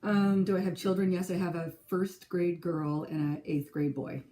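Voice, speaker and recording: Chatty woman with a mellow, low voice. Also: fairly even intonation, normal speed, slight background noise. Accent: Minnesotan. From California. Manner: rambling, self-correcting, giggly. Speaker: second grade teacher and stitching vlogger. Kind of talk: casual chatty vlog.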